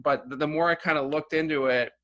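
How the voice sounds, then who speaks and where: Bright-voiced man, rambling interview talk. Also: fairly high pitch, fairly even intonation, a little fast. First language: Russian. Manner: rambling, full of restarts and "you know". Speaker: filmmaker guest.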